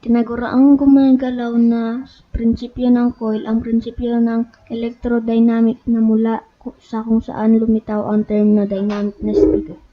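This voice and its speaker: Woman, Filipino accent, high voice, scripted explainer narration, reading aloud with stumbles, muffled lo-fi audio. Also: monotone, normal speed, slight background noise. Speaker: narrator of an educational video channel. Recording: dull lo-fi recording.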